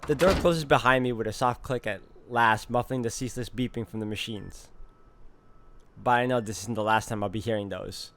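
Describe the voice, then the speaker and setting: A man reading introspective first-person visual novel narration in a measured way. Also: mid-range voice, a bit bright, slight background noise. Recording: faint music under the voice. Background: music.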